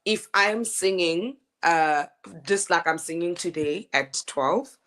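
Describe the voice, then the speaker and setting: Woman, deep low speaking voice; animated interview guest, laughs easily. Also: slow pace. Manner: animated, laughing storyteller with frequent restarts. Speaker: opera singer guest. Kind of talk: casual interview chat.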